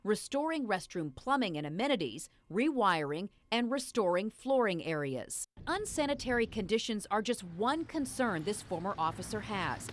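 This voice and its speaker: A woman's voice: medium pitch, big pitch swings, normal speed, hardly any pauses. A woman reporting the news, reading a scripted broadcast narration.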